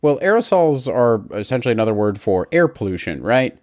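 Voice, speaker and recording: A man's voice: mid-range voice, big pitch swings, a little fast, darkish tone. A man hosting a podcast, asking casual questions and narrating between the interview clips. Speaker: podcast host.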